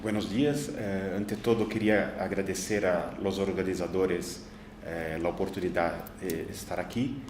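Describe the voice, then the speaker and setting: A man whose first language is Portuguese, speaking Spanish with a Brazilian Portuguese accent, hesitantly reading an academic conference paper with many pauses and fillers. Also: sits low, steady tone, echoey room, background noise. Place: conference hall.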